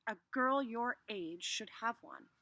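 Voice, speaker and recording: Woman acting a gentle, reassuring storybook mother. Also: a little high, expressive, slow pace, dry recording, heavily acted. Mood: kindly promising.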